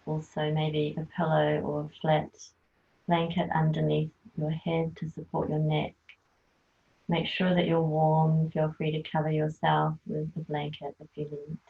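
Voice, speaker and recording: A woman leading a guided yoga nidra relaxation, speaking softly and soothingly as she gives gentle instructions. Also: deep voice, monotone, gravelly. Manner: slow, soft, soothing instruction. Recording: tinny phone-like sound.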